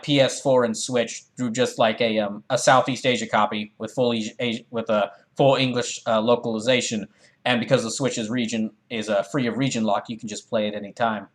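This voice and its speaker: A man hosting a livestream, chatting casually with viewers and explaining things in a rambling way, often restarting his sentences. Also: medium pitch. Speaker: streamer and host of a live fandub. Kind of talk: casual livestream commentary, chatting with viewers and reading game dialogue.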